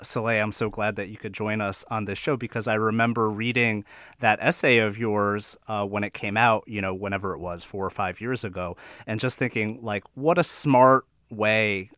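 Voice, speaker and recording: A man's voice: on the low side, steady tone, normal speed, fairly bright tone, no echo, clean studio sound. A man co-hosting a casual discussion, speaking reflectively and often saying "you know.". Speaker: podcast co-host.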